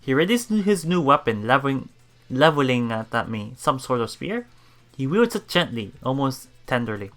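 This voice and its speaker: Bright-voiced streamer reading a game aloud. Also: mid-range voice, very animated, normal speed. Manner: stumbles over words and repeats them. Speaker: let's play streamer. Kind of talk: reading game text aloud with casual reactions.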